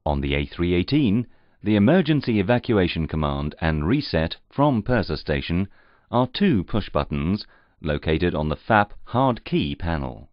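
A man reading scripted instructional narration in a formal, measured, carefully enunciated way. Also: sits low, big pitch swings, dry recording. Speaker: training narrator. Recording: slightly muffled, dull audio.

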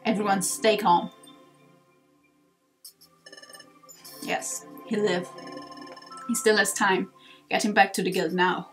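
A woman's voice: mid-range voice, steady tone, a little slow, a bit bright, soft background music, pitched below the performer's own voice, lightly acted. A woman voicing a cool, serious male gecko creature in a video game, terse and matter-of-fact. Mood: urgent, commanding.

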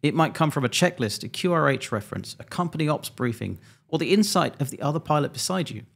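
A man around forty, an airline pilot, presenting a scripted explainer in a measured, methodical, slightly dry way, close to a desk microphone. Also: a little high, lively intonation, vocal fry, husky. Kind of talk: scripted explainer narration to camera. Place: small home studio indoors.

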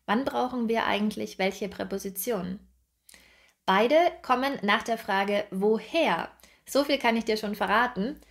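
Slow, clear young woman teacher. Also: medium pitch. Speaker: German teacher. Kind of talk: scripted grammar lesson to camera. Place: bright indoor room, seated at a table.

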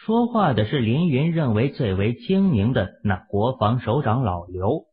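A man's voice: medium pitch, big pitch swings, measured pace, husky. A man narrating a novel expressively as an audiobook reader. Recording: muffled, phone-like narrow sound.

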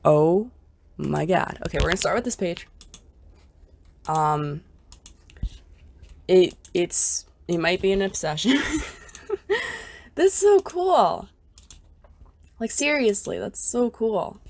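A woman's voice: fairly low pitch, lively intonation, slow, creaky, long pauses. Congested young woman gushing over game items. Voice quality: scratchy. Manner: chatty and bubbly, gushing over cute items. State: congested, scratchy voice. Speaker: gaming YouTuber. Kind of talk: casual gameplay commentary.

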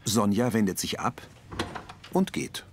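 A man's voice: fairly low pitch, sing-song, measured pace, background noise. A man reading scripted audio description for a TV series.

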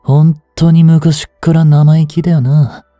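An actor playing a rough, cocky mafia boss with hidden tenderness in an intimate audio drama, gruff and teasing in a drawl. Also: male voice, fairly high pitch, flat delivery, measured pace, dark tone, no room sound, lightly acted. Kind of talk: intimate Japanese-style dramatic voice acting aimed at women listeners. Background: music.

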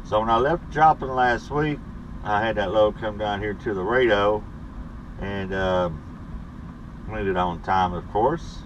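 A man's voice: fairly low pitch, muffled recording, background noise. Laid-back truck driver in his cab. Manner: laid-back, rambling, with frequent pauses and fillers. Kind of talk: casual weekly vlog. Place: inside a truck cab at night. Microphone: camera mounted on the dashboard.

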